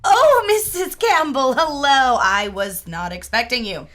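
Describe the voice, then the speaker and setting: A woman's voice: high-pitched, animated, unhurried, fairly bright tone. A woman playing a friendly, easily flustered young tenant in an audio drama, with a wry manner. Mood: flustered, nervous.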